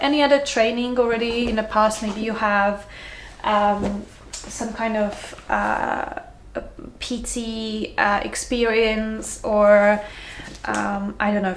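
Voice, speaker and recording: A woman's voice: fairly high pitch, roomy sound, a little hiss or hum. A young woman from Slovakia with a mixed Slovak-Hungarian accent, chatting to camera in a casual, rambling way, full of ums, restarts and easy laughs. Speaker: yoga teacher vlogger.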